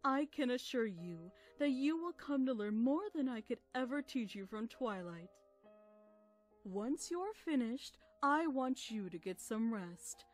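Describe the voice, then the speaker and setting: Woman doing motherly royal pony mentor, higher voice, gentle n formal, soft music under it. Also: animated. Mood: motherly, proud. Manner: formal, gentle, measured speech. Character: motherly, gracious adult pony princess and mentor. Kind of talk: acted fan fiction audio drama.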